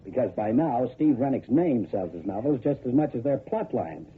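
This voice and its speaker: An actor playing a cocky, shrewd private detective in an old radio drama, explaining things in a wry, deliberate way. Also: male voice, medium pitch, expressive, breathy edge. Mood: pressing, methodical. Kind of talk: old-time radio detective acting and narration. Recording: tinny old radio broadcast recording.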